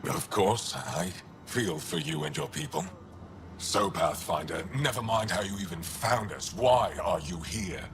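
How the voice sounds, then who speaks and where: A gruff alien resistance leader, with a deep, gravelly voice. He is blunt, suspicious and deliberate, in dramatic game dialogue. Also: expressive, a bit bright, exaggerated voice. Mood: dismissive. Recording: faint background ambience.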